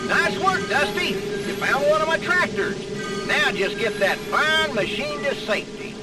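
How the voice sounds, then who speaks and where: An actor playing a folksy, excitable old farmer in an animated video game. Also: male voice, very high voice, measured pace. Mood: grateful, chatty. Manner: folksy, exclamatory. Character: folksy, excitable old farmer fretting over his tractors. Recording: distant voice under loud game music. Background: loud music, vehicle sounds.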